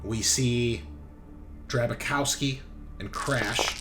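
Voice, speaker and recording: A man's voice: mid-range voice, fairly even intonation, slow pace, over music. A man running a tabletop roleplaying game as game master, narrating casually and bantering with plenty of swearing.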